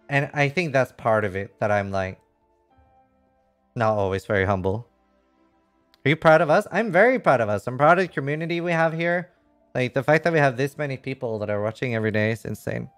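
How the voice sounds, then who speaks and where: A man doing casual live game commentary, reading chat and thinking aloud in a frank, rambling way with some casual swearing. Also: medium pitch. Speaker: livestreamer.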